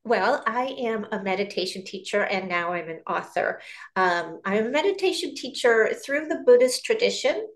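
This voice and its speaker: A woman from Queens, a meditation teacher and author, explaining her practice calmly and carefully on a video call. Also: medium pitch. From New York, Queens. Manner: calm, measured explanations with fillers. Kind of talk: interview guest. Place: outdoors or by a window in a loud city.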